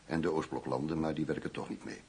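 A man's voice: a bass voice, fast talker, creaky, darkish tone, breathy edge, heavily acted. A man playing a blunt, cynical, decisive middle-aged police investigator in a Dutch radio play. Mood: businesslike.

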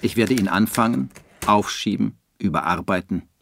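An actor playing a brooding, self-doubting male novelist in a German radio drama, speaking in a measured, literary way. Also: on the low side, vocal fry, a bit bright, a little hiss or hum. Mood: resigned.

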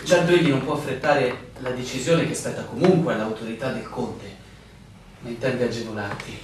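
An actor playing a pompous, unbending village official in a radio drama, speaking formally and with measured, officious diction. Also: male voice, mid-range voice, fairly even intonation, lightly acted. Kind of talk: Italian radio drama acting. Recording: echoey, distant, noisy radio play recording.

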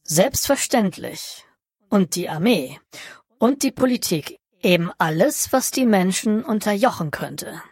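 A woman voicing a genial, drily ironic Martian son from Utopia in a satirical radio play, speaking deliberately and theatrically. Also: medium pitch, expressive, darkish tone, husky, no room sound, clean studio sound. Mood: matter-of-fact, wistful. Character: genial, wry, bored Martian alien, prodigal son from Utopia.

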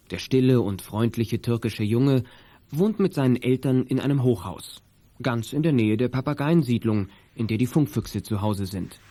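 Guy narrating a kids radio play, soft mellow tone, mid pitch. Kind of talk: scripted narration of a youth audio drama.

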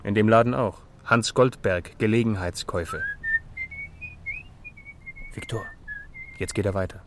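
Low-voiced laconic police sergeant. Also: male voice, unhurried. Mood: watchful. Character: laconic police sergeant reporting to his chief. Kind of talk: 1960s German radio play acting. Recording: faintly noisy old radio recording.

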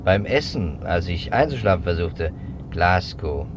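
An actor playing a methodical, suspicious investigator in a 1950s German radio drama, speaking deliberately in emphatic, clipped phrases. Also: male voice, sits low, very animated, heavily acted. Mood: intent, brooding. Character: persistent adult male investigator, methodical and suspicious. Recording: dull, noisy 1950s radio recording.

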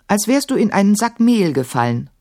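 Woman as young storybook caliph, male, proud, scornful, imperious, emphatic, raised pitch. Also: big pitch swings, fairly quick, dark tone, dry recording, clean studio sound. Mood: haughty, stern.

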